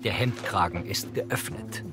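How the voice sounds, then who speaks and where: A man's voice: deep voice, a little slow, over music. A man narrating screen action as a scripted audio description.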